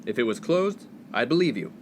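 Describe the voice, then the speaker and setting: A man's voice: medium pitch, sing-song, fairly quick, lightly acted. A male actor plays a young upper-class college man of the 1950s. He is pompous and easily outraged, scolding like an offended snob. Faint noise sits behind the comic radio-play voice. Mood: indignant, scolding. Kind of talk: comedic radio play acting.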